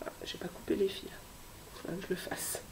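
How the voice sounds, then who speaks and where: A woman in her thirties, hoarse from a cold, hosting a casual knitting vlog with many hesitations and soft asides. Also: moderate pace, in a whisper, poor audio. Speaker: knitting podcast host.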